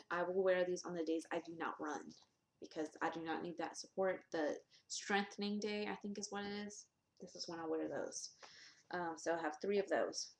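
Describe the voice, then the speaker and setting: A young woman vlogger talking casually and candidly, with many ums and restarts. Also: fairly low pitch. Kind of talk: casual vlog. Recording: echoey room.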